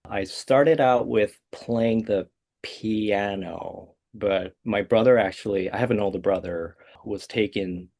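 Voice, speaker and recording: Male composer, 40s, fry-edged low voice, hesitant and thoughtful. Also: measured pace. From South Korea. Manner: soft-spoken, hesitant, many uh and um fillers. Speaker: guest composer. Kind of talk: interview answers.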